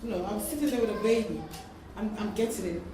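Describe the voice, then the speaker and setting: A woman telling a personal story in an animated way during a group discussion. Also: mid-range voice, fast. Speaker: community member speaking at a gathering. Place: echoey indoor room. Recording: very echoey room, distant mic, noisy.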